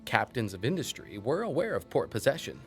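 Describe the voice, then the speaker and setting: Folksy, wry small-town historian. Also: male voice, mid-range voice, big pitch swings, brisk, creaky, fairly bright tone, faint music behind. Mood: enthusiastic, digressive. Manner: folksy, wry, digressive, with thoughtful asides. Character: chatty small-town male historian who relishes local lore. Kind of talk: conversational storytelling in an audio drama.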